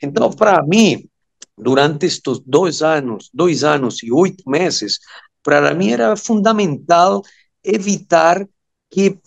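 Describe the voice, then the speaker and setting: A Venezuelan man with a Spanish accent giving interview answers, haltingly searching for words in his second language. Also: fairly high pitch, lively intonation, gravelly. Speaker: interview guest, amateur radio operator.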